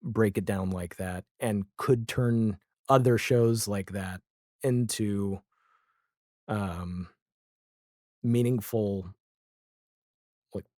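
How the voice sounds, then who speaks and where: A man's voice: fairly low pitch, extremely slow, pauses often, dark tone, no room sound, clean studio sound. A man in his forties talking casually and reflectively as an interview guest, with a wry way of telling things. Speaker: interview guest, comedy and audio drama writer.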